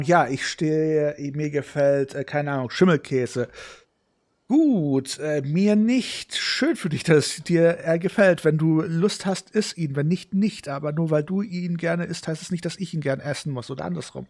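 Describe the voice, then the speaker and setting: A man streaming a video game, chatting casually and haltingly between reading dialogue lines aloud. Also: fairly high pitch, darkish tone. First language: German.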